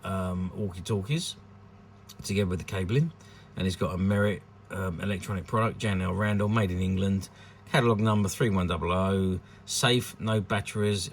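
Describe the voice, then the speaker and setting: A man giving an unscripted showcase commentary on a collectable, with a hesitant, halting delivery. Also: deep voice, a little hiss or hum. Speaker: vintage toy collector presenting an item.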